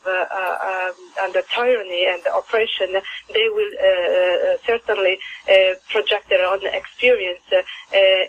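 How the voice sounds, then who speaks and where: A woman from Italy with an Italian accent, a guest on a radio interview, who speaks haltingly with many fillers and restarts. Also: mid-range voice, dull audio. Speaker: guest, play adaptor.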